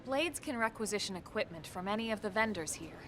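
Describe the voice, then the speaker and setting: Woman playing a calm sensible soldier mentor in a game, medium pitch, measured, noisy background. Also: natural voice. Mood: matter-of-fact. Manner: measured, matter-of-fact, gently reproving. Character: composed, level-headed adult female soldier and mentor. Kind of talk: video game cutscene acting.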